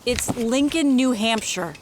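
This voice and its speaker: A woman's voice: on the high side, expressive, unhurried, slight background noise, low-quality recording. A woman playing a determined, practical traveller in an audio drama, earnest and matter-of-fact. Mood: resolute.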